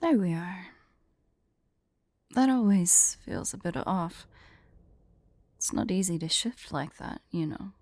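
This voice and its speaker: Actress as tsundere female dragon in human form, ASMR roleplay. Soft, dark-toned, slow, haughty and teasing. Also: lowish voice, expressive, no room sound, full character voice. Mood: smug, teasing. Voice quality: soft, mellow.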